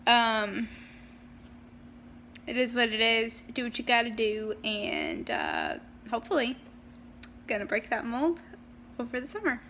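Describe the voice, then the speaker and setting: Bright young woman chatting to camera. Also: a little high, very animated, gravelly, a little hiss or hum. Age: young adult. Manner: chatty, frequent ums, speeds up and slows down. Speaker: vlogger. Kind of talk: casual personal storytelling. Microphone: handheld camera.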